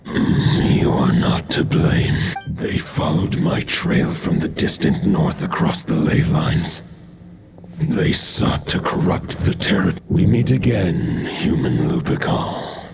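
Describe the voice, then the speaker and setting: A man voicing an ancient, weary wolf god in a fantasy game, speaking gravely and formally in a gravelly voice. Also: very deep voice, expressive, heavy background noise, full character voice. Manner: slow, grave, archaic formal speech. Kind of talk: scripted fantasy game dialogue. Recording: orchestral game music behind.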